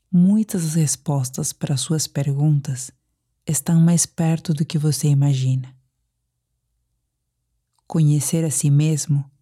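A woman with a Brazilian accent leading a guided sleep meditation, soothing and deliberate, leaving pauses between phrases. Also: deep voice, mellow.

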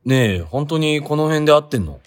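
A man's voice: a little high, very animated, a little fast. An actor playing a naive, doubtful young man questioning his friend casually in a comic radio drama.

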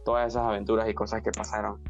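A man's voice: lowish voice, level tone, very fast, soft background music. Young man co-hosting, slightly muffled sound. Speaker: podcast co-host. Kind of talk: casual chat with friends. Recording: dull lo-fi remote connection.

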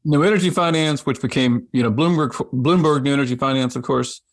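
A man hosting an interview podcast, easygoing and quick with short affirmations. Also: medium pitch. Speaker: podcast host. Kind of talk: casual interview chat.

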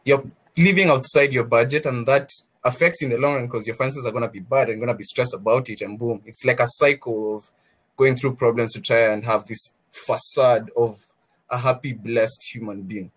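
A man makes an earnest case on a podcast, pressing his point. His voice is husky and a little rough, at a middle pitch. He talks in an opinionated, argumentative way. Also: fairly even intonation, a little fast.

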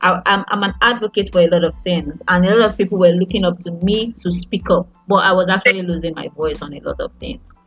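A young Nigerian woman with a Nigerian accent, a podcast host talking casually and haltingly, full of fillers and restarts. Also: on the low side, a bit bright, soft background music, low-quality recording. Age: young adult. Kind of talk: casual chat.

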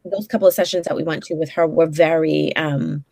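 Woman, 40s, Brooklyn accent, lower voice, dry close sound; frank guest therapist. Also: steady tone, a little fast, vocal fry, darkish tone, no room sound. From Brooklyn, New York. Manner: frank and down-to-earth, many fillers and restarts. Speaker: licensed clinical social worker, guest. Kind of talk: casual interview conversation.

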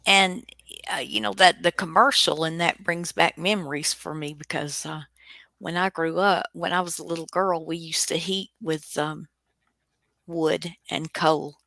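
Slow, creaky-voiced woman. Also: low-pitched, steady tone, bright, forceful tone, dry recording. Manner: rambling, hesitant, reminiscing delivery. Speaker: radio show host. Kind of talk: casual chat.

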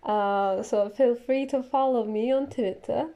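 A woman's voice: on the high side, unhurried, warm tone, lo-fi sound. A young woman whose first language is not English, a player on a role-playing podcast, speaking hesitantly with many fillers and giggles. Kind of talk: casual chat.

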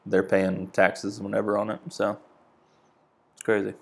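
A man in his thirties, from New England, talks in a low, gravelly voice. He rambles through a story with a relaxed, unhurried ease and plenty of filler words, like an ordinary guest on a podcast. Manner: easygoing storytelling with frequent fillers. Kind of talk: casual chat.

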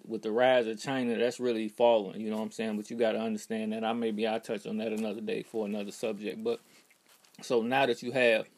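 An American man delivering an emphatic, preachy monologue straight to his followers, constantly checking that they are following. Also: mid-range voice. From United States. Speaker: social media commentator. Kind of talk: direct-to-camera monologue.

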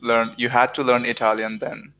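Bright-voiced male podcast host. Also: medium pitch, flat delivery, moderate pace, dull audio. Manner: friendly, hesitant questions with fillers. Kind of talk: casual interview.

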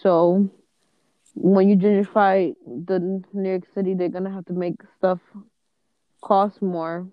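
A woman's voice: mid-range voice, flat delivery, slow pace, no room sound, muffled recording. A woman co-hosting a casual podcast chat, dry and teasing. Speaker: podcast co-host.

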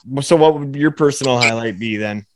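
A man hosting an interview in casual chat, his voice raspy, blunt and swearing freely. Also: mid-range voice, fast talker, background noise. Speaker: podcast host.

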